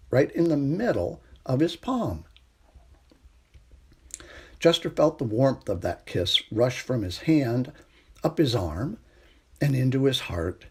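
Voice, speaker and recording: A man serves as storytime reader, narrating a children's book with expression. His voice sits at a middle pitch and has a husky, less clear tone. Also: darkish tone.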